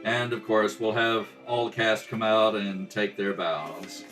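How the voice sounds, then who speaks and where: A man's voice: fairly low pitch, steady tone, unhurried, music in the background. A man informally explaining the running order to a cast as an amateur director. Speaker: amateur director reading a rehearsal track.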